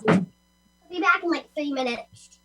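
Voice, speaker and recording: A woman's voice: sits high, very animated, unhurried, heavy background noise. A girl, a child actress, talking casually in a group conversation. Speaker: young actress. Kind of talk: casual chat.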